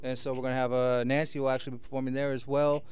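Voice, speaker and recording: A man's voice: mid-range voice, flat delivery, rapid-fire, darkish tone, smooth, faint music behind. An American man from Sacramento talking casually and earnestly as a radio interview guest, rambling and often checking "you know what I mean". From Sacramento, California, USA. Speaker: guest musician and promoter. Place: radio station studio.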